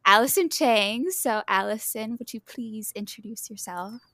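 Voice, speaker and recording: Casting: young woman podcast host, upbeat, unhurried, dry close mic. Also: a little high, pushed and bright, no room sound. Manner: slow, upbeat, filler-heavy. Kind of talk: casual podcast hosting.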